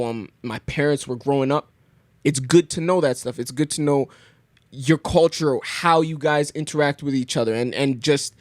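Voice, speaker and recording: Young man musing haltingly, dry sound. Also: fairly high pitch, no room sound. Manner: thoughtful, halting, many restarts. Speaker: podcast guest. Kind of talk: casual conversation.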